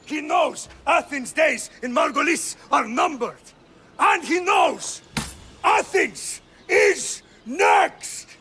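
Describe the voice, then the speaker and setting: An actor playing a fiery, scornful Spartan orator, declaiming theatrically in a video game. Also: male voice, very high-pitched, lively intonation, slow, pushed and bright, raised voice, slight background noise, heavily acted. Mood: rousing, fierce.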